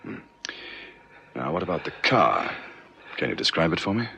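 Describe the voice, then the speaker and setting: A police sergeant from a radio play, calm and methodical. His voice is very deep and creaky, with a lively swing in pitch as he puts short, probing questions. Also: exaggerated voice. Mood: methodical questioning. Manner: measured, probing, clipped questioning. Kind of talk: 1970s radio drama acting.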